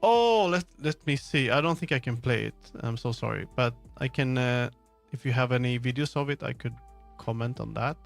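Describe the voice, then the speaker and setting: Casual male livestreamer, average pitch, muttery and wandering delivery, light music behind. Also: lively intonation, normal speed, gravelly. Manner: rambling, muttering to himself, jumping between thoughts. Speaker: streamer.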